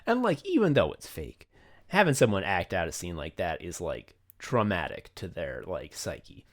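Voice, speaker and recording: A man with a fairly low voice talks in a loose, casual podcast style. His manner is effusive and informal, and he swears easily as he hosts. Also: big pitch swings, moderate pace. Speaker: podcast host. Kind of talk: casual behind-the-scenes podcast chat.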